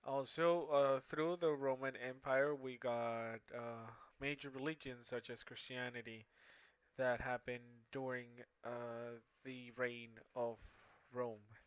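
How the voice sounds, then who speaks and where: A young man whose first language is Spanish gives a homework presentation, stopping often to restart his sentences and filling gaps with hesitant sounds. His voice sits at an ordinary pitch and is recorded dry, with no room sound behind it. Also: flat delivery, clear, resonant tone, no echo. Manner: halting, with many fillers and restarts. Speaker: student presenter. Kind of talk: class presentation.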